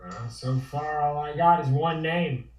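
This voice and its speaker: A man's voice: fairly high pitch, a little slow, darkish tone, lightly acted. Man acting a dry, needling detective. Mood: businesslike. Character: dogged, sardonic male police investigator. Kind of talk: period crime table-read acting. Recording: roomy, distant microphone.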